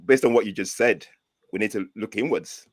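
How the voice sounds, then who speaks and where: A man's voice: fairly low pitch, fairly quick, dry recording. A Nigerian man co-hosting an online discussion, asking an earnest question and repeating his points for emphasis. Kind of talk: casual discussion.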